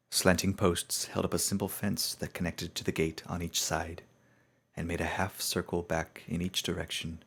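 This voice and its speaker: A man giving a dramatic, scripted narration of a story in a solemn, measured storytelling manner. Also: sits low, slightly rough.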